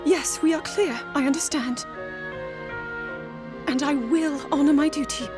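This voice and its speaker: An actress voicing an earnest young princess who speaks formally and politely in a video game cutscene. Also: female voice, high-pitched, measured pace, music in the background. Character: earnest young human princess, dutiful and worried. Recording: game score underneath.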